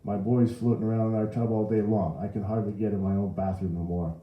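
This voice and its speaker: An older working-class New Orleans mother, put on by a male reader, pitched up from his own register. She chats and complains in a familiar, folksy storybook voice, with echo around it. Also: fairly even intonation, fast, highs cut off, close to an everyday voice. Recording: roomy echo.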